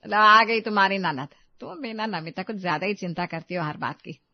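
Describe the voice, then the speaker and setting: A woman's voice: mid-range voice, a little fast, close to an everyday voice. Wry older mother-in-law voiced by a woman, slightly muffled. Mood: easygoing. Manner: teasing, dry-witted grumbling. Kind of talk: Hindi animated family moral story.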